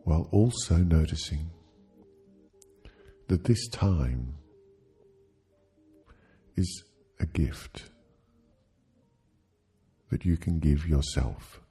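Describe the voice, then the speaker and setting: A man leading a guided sleep hypnosis, speaking soothingly and gently in short, halting phrases with long pauses. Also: a bass voice, husky, soft background music.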